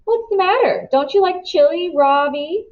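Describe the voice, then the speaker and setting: A woman's voice: very high voice, animated, normal speed, a little mellow, highs cut off, voice pushed up. A woman voicing a mean American schoolboy bully who taunts and mocks in a sneering way. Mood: falsely friendly.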